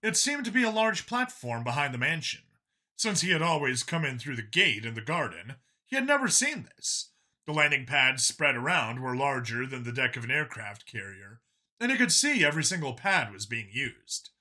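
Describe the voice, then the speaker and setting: A man reading a scripted story as an expressive, dramatic audiobook narrator. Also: mid-range voice, moderate pace.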